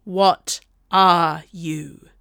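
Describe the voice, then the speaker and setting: A woman acts out a deranged young aristocrat in a storybook tale. He bellows his accusations in a forceful, hoarsely breaking voice, full of rage. The sound is close and dry. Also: mid-range voice, lively intonation, a little slow, dry recording. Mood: enraged. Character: mad young nobleman, bellowing in pure rage.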